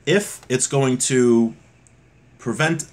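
A man in his thirties teaches religious law informally, explaining texts in a halting, repetitive way, recorded on a computer mic in a small room at home. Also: mid-range voice, darkish tone. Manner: halting, explanatory, repeats points. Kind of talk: informal text study, reading Hebrew passages and explaining them.

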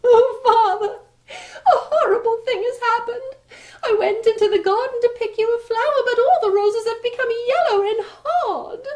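A woman voicing a gentle young princess who is tearful and pleading, in a storybook tale. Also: normal speed. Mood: upset. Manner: high, pleading and weepy.

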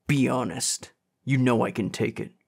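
A man's voice: medium pitch, normal speed, creaky, slightly rough. A man voicing an angry, hardened teenage boy, bitter and wounded, with a growling, demanding delivery in an audiobook. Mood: demanding, confrontational.

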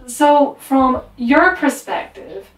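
Girl in her 20s hosting a chill chat, highish voice, roomy sound. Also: expressive, slow, recorded from a distance. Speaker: host, interviewing friends. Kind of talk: casual chat. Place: small bedroom indoors. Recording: roomy echo, off the mic, faint music.

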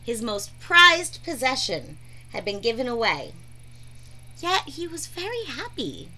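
Young female storybook reader, fairly high bright voice, expressive, recorded at home. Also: a little slow, poor audio. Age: young adult. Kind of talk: expressive children's read-aloud. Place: small room at home, indoors.

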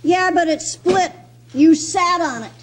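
A woman's voice: very high-pitched, unhurried. A woman acting a mischievous, eager young boy who needles his uncle in slangy old-time radio comedy style. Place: live stage in a convention room. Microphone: stand microphone on stage. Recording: noisy live hall recording.

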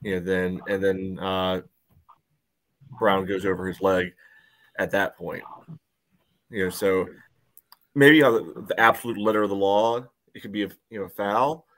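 A man's voice: deep voice, fairly even intonation, measured pace, long pauses, a bit bright. A man giving casual match analysis as a soccer podcast panelist on a video call, often padding with filler phrases.

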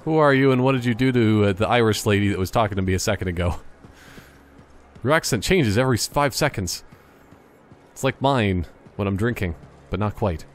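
Low-voiced man rambling over gameplay. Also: slight background noise. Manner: rambling, joking, halting. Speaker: gaming commentator. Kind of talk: casual joking gameplay commentary.

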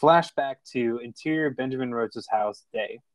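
A man's voice: mid-range voice, normal speed, no echo. Dry-sounding man narrating a screenplay. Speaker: narrator of a screenplay table read. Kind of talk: scripted narration of stage directions.

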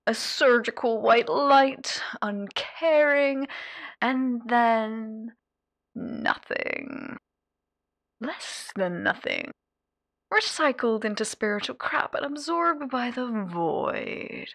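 A sadistic lady demon in an ASMR roleplay, posing as a therapist. She is mocking and showy, with a highish voice that swoops dramatically. Her words are drawn out slowly and recorded dry and close. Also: huge pitch swings, vocal fry, a bit bright, dry recording, exaggerated voice. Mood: slow menace. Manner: mocking, showy, drawn-out delivery with whispered asides and pet names. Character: sadistic, theatrical female radio demon playing hotel therapist. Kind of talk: ASMR character roleplay addressed to the listener.

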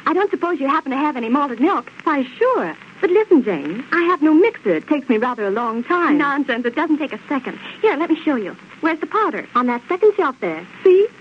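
An actress playing a chummy, bubbly housewife in a 1930s radio advertisement. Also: female voice, high-pitched, very animated, a little fast, few pauses. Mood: chirpy enthusiasm. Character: chirpy, chummy housewife in a sponsor playlet. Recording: dull, noisy old radio recording.

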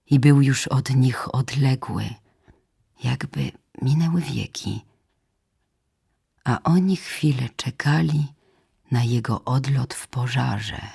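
Slow, husky woman reciting poetry. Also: rumbling low, mellow. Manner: slow, deliberate phrasing in short measured lines. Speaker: poetry reader. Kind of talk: solemn dramatic poetry recitation, audiobook. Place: radio theatre studio.

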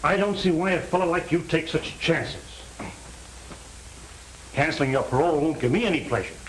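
A middle-aged parole officer, stern but fair, with a widely moving pitch. It is 1930s Hollywood crime acting on a roomy, slightly hissy old soundtrack. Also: male voice, lively intonation, normal speed, a bit bright. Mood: firm, lenient. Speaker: film actor.

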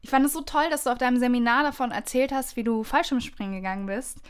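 Higher-pitched woman hosting a podcast. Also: quick pace, clear, resonant tone. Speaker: podcast host. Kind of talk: conversational podcast interview.

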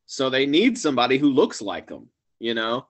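A man in his forties, a guest actor and comedian, chatting casually over a webcam call from a small room at home, rambling and trailing off quietly at the ends of phrases. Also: mid-range voice, very animated, moderate pace. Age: 40s. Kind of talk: casual video-call chat. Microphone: webcam video call.

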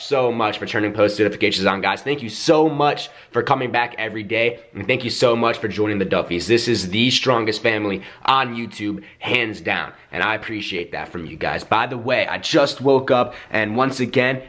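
A man's voice: on the low side, fairly bright tone. A young man vlogging to a handheld camera at arm's length in a house, talking in a hyped, playful way. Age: young adult. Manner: hyped, playful, whiny when pleading. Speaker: YouTube vlogger. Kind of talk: energetic casual vlog talk. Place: house interior, bedroom and hallways.

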